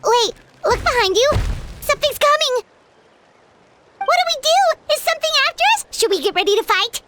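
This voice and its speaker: A woman voicing a tiny, excitable fairy-like girl in a video game, squeaky, childlike and inquisitive. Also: moderate pace, a little hiss or hum, heavily acted. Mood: alarmed. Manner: exclamatory, inquisitive, speaks of self in third person. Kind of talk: video game dub acting. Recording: music behind the voice.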